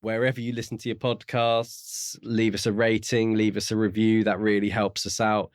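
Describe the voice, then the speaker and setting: A man with a fairly low voice talks as a podcast co-host, recorded dry with little room sound. He is measured and courteous, explaining his thoughts in a casual book-chat style. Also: little pitch movement, dry recording.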